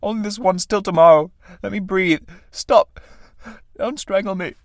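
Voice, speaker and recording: A man acting a rough, battered tramp, close and dry. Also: on the high side, fairly even intonation, moderate pace, no room sound, natural voice. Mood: desperate, defiant. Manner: colloquial, rough speech with dropped endings. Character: battered male tramp, rough, pitying, fighting death. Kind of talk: dramatic stage-play reading.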